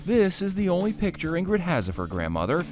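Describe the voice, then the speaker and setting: Lively-voiced reporter woman narrating. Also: fairly low pitch, brisk. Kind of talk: scripted news narration. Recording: music bed underneath.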